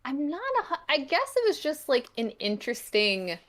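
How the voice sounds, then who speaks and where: A woman's voice: high voice, very animated, normal speed, fairly bright tone. A woman chatting casually as a podcast guest, explaining her thoughts in a rambling, reflective, tentative way. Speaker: podcast guest, comic creator. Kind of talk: casual interview chat.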